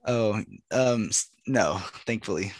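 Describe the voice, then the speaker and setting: A man's voice: lowish voice, slow, dry recording, low-quality recording. A young man co-hosting a podcast, telling rambling jokey stories in casual chat with lots of fillers. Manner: rambling storyteller, joking, with frequent fillers. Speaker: podcast co-host.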